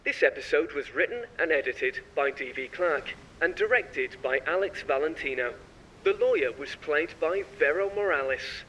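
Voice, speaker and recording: A man working as announcer, reading scripted show credits. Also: sharp tone. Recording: distant sound with faint rumbling noise.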